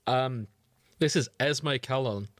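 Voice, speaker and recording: Man, mid-range pitch, casual podcast chat, droll, understated. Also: slow pace. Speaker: podcast co-host.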